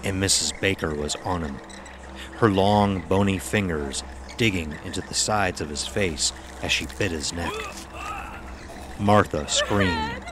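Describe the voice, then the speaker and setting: A man's voice: deep voice, measured pace, background noise. A man narrating a scripted horror audio drama as its storyteller.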